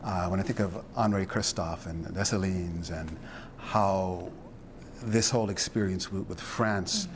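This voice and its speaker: Low-voiced thoughtful male interviewer. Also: background noise. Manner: thoughtful, halting, many restarts and fillers. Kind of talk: reflective interview.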